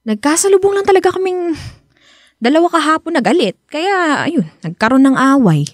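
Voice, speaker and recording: A woman's voice: sits high, animated, normal speed, no room sound, clean studio sound. An actress with a Filipino accent playing a reluctant, evasive young woman who hides her feelings, in a radio drama. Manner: hesitant, exasperated, sighing. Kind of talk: Tagalog radio drama acting.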